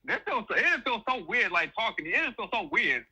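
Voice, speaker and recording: Male caller, husky yet high, quick, casual, unsure of himself. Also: very animated, bright, forceful tone. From Chicago, United States. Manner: hesitant, self-effacing, repeats himself. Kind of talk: casual chat on a call-in show.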